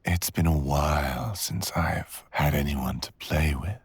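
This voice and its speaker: A man acts out a cruel, seductive dragon villain for an ASMR audio roleplay. The voice is extremely low and husky, crackling with fry, and drifts into whispers. It delivers mocking, predatory threats in an arrogant drawl, close and intimate. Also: unhurried, dry recording, full character voice. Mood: amused, taunting. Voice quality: gravelly, breathy, sometimes whispering. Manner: menacing, mocking, teasing drawl.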